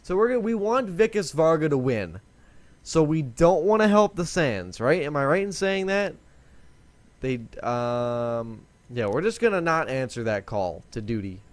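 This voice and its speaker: Chatty, rambling young man, lively pitch. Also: a little slow, no echo. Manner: rambling, chatty, self-correcting. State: voice lower than usual today. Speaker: gaming video host. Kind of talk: casual let's-play commentary.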